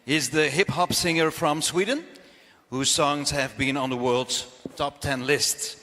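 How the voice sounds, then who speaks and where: A man's voice: fairly high pitch, steady tone, measured pace, pushed and bright, long reverb tail. A man moderating an event and introducing the next performer in an upbeat announcing tone. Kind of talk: stage introduction.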